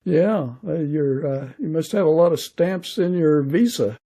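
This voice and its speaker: Husky, high-pitched American man hosting. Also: measured pace, warm tone. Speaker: podcast host interviewer. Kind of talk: casual interview chat.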